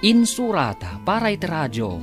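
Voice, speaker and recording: A man's voice: sits high, wildly swooping pitch, moderate pace, music in the background. A man with a Filipino accent announcing a radio drama in a dramatic scripted style.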